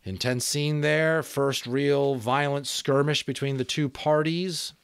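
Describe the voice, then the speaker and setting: A man hosting an audiobook reading, giving informal, reflective commentary in a halting, thinking-aloud way. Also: on the high side, fairly even intonation, clear, resonant tone, dry recording, studio quality. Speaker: audiobook reader and host. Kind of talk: informal commentary and expressive story narration.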